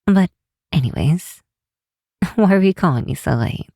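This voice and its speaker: Woman playing a slow, mellow, affectionate vampire researcher. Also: on the low side, expressive, no echo, clean studio sound. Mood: fond, musing. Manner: tender, teasing, chuckling, hesitant, unhurried. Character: affectionate, bookish vampire researcher, a close friend. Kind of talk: ASMR roleplay, one side of a late-night phone call.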